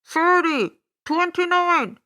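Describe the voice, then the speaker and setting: A woman voicing a booming loudspeaker announcer in an audiobook, dramatically counting down. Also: animated, slow, full character voice. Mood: dramatic countdown.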